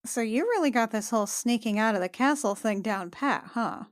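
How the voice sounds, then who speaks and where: A woman voicing an awkward, guarded young adventurer in a tabletop game, speaking casually, wryly and haltingly. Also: on the high side, expressive, dry recording, studio quality, close to an everyday voice. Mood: surprised, curious. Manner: hesitant, halting, colloquial.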